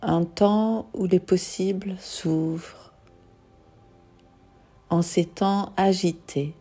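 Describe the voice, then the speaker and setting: Lady doing guided relaxation, lowish soothing voice, music playing behind her. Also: steady tone, warm tone. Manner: soothing, unhurried, with long pauses. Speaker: yoga nidra guide. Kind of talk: guided meditation.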